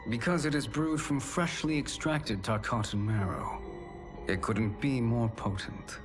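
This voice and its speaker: Man doing a cold, precise game sorcerer, cutscene with loud score behind. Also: mid-range voice, measured pace, music in the background, lightly acted. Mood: calm scheming. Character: scheming male sorcerer alchemist, cold and calculating. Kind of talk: video game cutscene acting. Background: loud orchestral game score.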